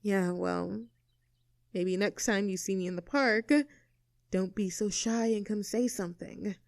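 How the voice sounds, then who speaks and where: A woman plays a young mean girl in an audio roleplay, blunt and sarcastic with a soft side underneath. Her mid-pitched voice has plenty of vocal fry and a casual, teasing delivery. The sound is dry and close, with no room echo. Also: steady tone, unhurried. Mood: softened, awkward. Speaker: voice actor. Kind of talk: scripted audio roleplay, naturalistic one-sided dialogue.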